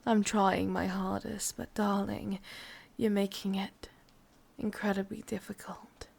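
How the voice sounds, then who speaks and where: Casting: actress as possessive yandere witch for ASMR roleplay, English accent, breathy and husky, unhurried, quietly menacing. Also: mid-range voice, flat delivery, full character voice. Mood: possessive longing. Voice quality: breathy whisper. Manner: slow, deliberate, softly menacing. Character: sadistic, lovelorn, ancient yandere witch, commanding and possessive.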